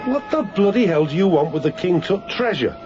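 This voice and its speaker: A man's voice: sits high, expressive, a little fast. A man playing a defiant, blustering freighter captain in a radio drama, indignant and scornful. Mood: indignant defiance. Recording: dull radio recording under loud music.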